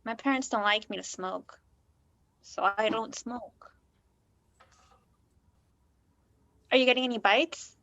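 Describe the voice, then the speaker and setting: A woman's voice: fairly high pitch, moderate pace, a bit bright, no echo. A woman acting a naive, unsettled nineteen-year-old confiding in a stranger in a naturalistic play. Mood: subdued. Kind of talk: naturalistic one-act play dialogue.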